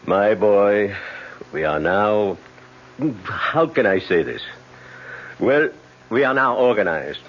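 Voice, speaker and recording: A suave, wary casino manager from an old radio drama. The voice is low and husky with a lively, fatherly delivery, heard on a muffled recording. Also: slow pace, long pauses. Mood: grave, cautioning. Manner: smooth-mannered, hesitant, fatherly.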